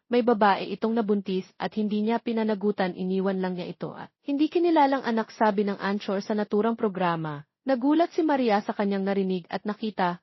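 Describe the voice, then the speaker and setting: A woman's voice: mid-range voice, dry recording, dull audio. A woman with a Filipino accent narrating a scripted romance story as an audiobook narrator.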